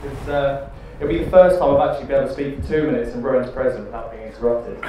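A man's voice: medium pitch, a bit bright. British best man in an echoing hall. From Britain. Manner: quick, joking, chuckling between lines. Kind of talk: wedding best man speech. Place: large wood-panelled banquet hall, indoors. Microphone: handheld mic. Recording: very echoey hall with background noise.

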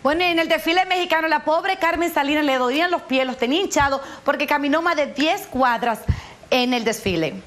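A woman in her thirties delivers a live entertainment report, chatty and teasing. Her voice sits high and bright, picked up close by a small clip-on mic outdoors. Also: a little fast. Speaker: entertainment correspondent. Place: outdoor rooftop set in a big city. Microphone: lapel mic.

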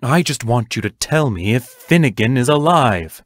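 A woman's voice: extremely low pitch, lively intonation, normal speed, dry recording. A woman playing a terse, protective, anguished teacher in an audio drama, giving firm commands. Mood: stern, urgent. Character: stern, protective, anguished adult teacher facing fairies.

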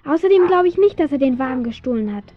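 Eine Schauspielerin spielt ein vorsichtiges, mitfühlendes jugendliches Mädchen in einem Kinderhörspiel. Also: female voice, high-pitched, animated, fast talker, dull audio. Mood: earnest. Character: gentle, compassionate, cautious teenage girl, the sidekick. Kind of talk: German children's audio drama acting.

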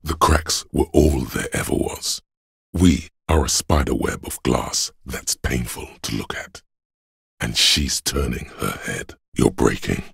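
Super deep raspy inner voice of a beat up detective in a game, grave n stoic, slow heavy words, really dry close sound. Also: male voice, fairly bright tone, no room sound, exaggerated voice. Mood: ominous. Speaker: voice actor. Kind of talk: scripted game narration in second person.

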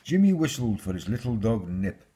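A man with a husky voice, reading a children's fairy tale aloud as a storyteller. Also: lowish voice, big pitch swings, normal speed, dark tone.

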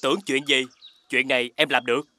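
A man playing an honest, trusting young woodcutter hero in an animated fairy tale, earnest and obliging, with a southern Vietnamese accent. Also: mid-range voice, fairly quick, slight background noise, natural voice.

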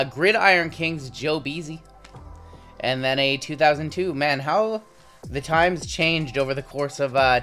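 A man in his thirties with a sore throat and a slightly raspy voice, chatting casually through a pack opening. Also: medium pitch. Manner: relaxed, chatty running commentary with frequent "uh"s. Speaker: card-breaking channel host. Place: indoor home studio with logo backdrop.

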